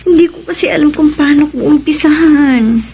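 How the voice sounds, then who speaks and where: A woman's voice: sits high, moderate pace, warm tone, a little hiss or hum. An actress with a Filipino accent playing a hesitant, apologetic young engaged woman in a radio drama, emotional and pleading.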